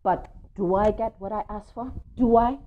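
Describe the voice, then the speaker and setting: A woman voicing a grumpy, moody pigeon with a hot temper, complaining loudly and dramatically in a children's storybook. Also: mid-range voice, moderate pace, narrow, tinny audio, lightly acted. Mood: indignant, complaining. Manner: loud, dramatic, whiny complaining. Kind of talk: storybook read-aloud for children.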